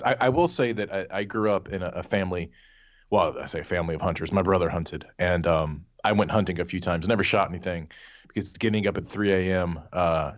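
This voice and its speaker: A man's voice: deep voice, muffled recording. A man chatting casually as a podcast panelist, rambling and wry, with some swearing. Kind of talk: casual chat.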